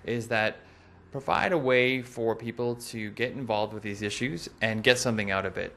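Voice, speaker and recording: A man's voice: fairly low pitch, steady tone, moderate pace, fairly bright tone. A man, earnestly explaining his organization's work in an interview in a small office. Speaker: interviewee from a street newspaper.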